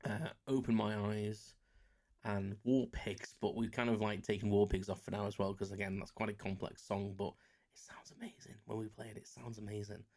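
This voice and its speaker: A man's voice: fairly low pitch, little pitch movement, moderate pace, a little mellow. A man around forty, chatting casually on his solo podcast, rambling and self-deprecating with many fillers. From England. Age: about 40. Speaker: podcast host.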